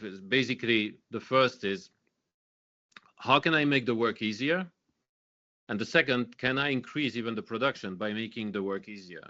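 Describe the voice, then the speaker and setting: Man, earnest speaker, bright forward tone, slightly dull lo-fi recording. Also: mid-range voice. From Belgium. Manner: repeats and restarts, earnest. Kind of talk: teaching talk. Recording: dull lo-fi sound.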